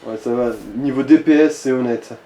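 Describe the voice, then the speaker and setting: Man, mellow dark voice, casual gaming co-commentary, slangy, roomy echo. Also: mid-range voice, fairly even intonation, normal speed. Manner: informal, crude slang. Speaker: co-commentator advising the player. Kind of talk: casual let's play commentary. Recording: roomy echo, background noise.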